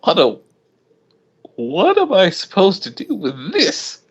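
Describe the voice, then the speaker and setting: A man's voice: sits high, huge pitch swings, takes it slowly, breathy edge, lo-fi sound, voice pushed up, full character voice. A man voicing a flustered young woman in love, stammering and gasping in an overwrought way. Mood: anxious.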